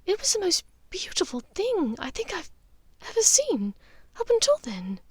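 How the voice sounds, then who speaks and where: Audiobook role: gentle, troubled aristocratic witch played by a female narrator, high, soft, measured, dry recording. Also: wildly swooping pitch, no room sound, full character voice. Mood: wistful. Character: gentle, troubled upper-class witch and mother, wistful.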